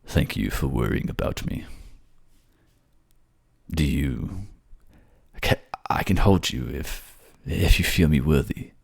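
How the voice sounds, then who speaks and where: A man playing a brooding, guarded but devoted warrior king in a fantasy ASMR drama, speaking in a halting, subdued way. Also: expressive, creaky, darkish tone, husky, dry recording, studio quality, exaggerated voice. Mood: tender, vulnerable. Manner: halting, subdued, low-key.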